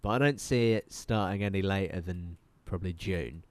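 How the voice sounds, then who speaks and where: A man's voice: sits low, dry recording. Congested, sweary man chatting. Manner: rambling, sweary, quick to laugh. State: congested, bunged-up nose. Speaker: podcast co-host. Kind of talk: casual chat.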